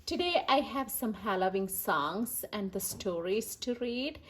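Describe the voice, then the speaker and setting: A woman reading stories aloud for children as the host, animated and emphatic with repeated words. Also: medium pitch, a little slow, slight background noise. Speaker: children's story reader and host.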